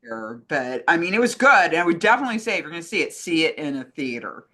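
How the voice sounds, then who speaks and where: A woman's voice: deep voice, very animated, fast talker, bright, forceful tone. A woman co-hosting a casual film discussion, giving opinions in loose, trailing phrases. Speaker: co-host, film foundation communications director.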